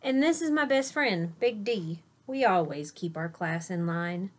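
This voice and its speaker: A woman's voice: on the low side, lively intonation, measured pace. Woman as schoolboy, storybook class clown, cocky, boastful, emphatic delivery.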